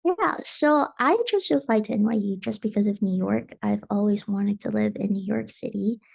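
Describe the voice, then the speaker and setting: A young woman from Indonesia answers panel questions in a casual, detailed way, giving advice with frequent ums. Her voice is heavily creaky and mellow rather than bright. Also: mid-range voice, moderate pace. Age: young adult. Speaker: transfer student panelist.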